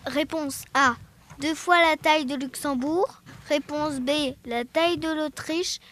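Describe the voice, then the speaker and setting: A woman's voice: high voice, fairly even intonation, measured pace, a bit bright, no echo. A young girl presenting and narrating a scripted radio show. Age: child.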